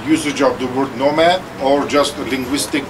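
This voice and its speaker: A man whose first language is Armenian, commenting from the audience at a press conference, measured and full of fillers. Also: mid-range voice, steady tone. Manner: measured, hesitant with many fillers. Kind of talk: spontaneous comment. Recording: distant voice with clear background noise.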